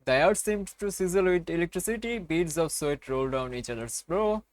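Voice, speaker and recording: A man's voice: a little high, fairly quick. A man hosting a game playthrough, reading a visual novel aloud with casual commentary.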